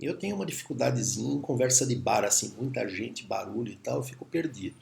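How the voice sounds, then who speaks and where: A Brazilian man with a Brazilian Portuguese accent talks through a reflective lesson to camera. His voice has a gravelly edge and sits at an ordinary pitch for a man. He speaks calmly, pausing to muse and checking in with short tag questions. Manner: calm, musing, with tag questions and pauses. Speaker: teacher. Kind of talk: reflective video lecture.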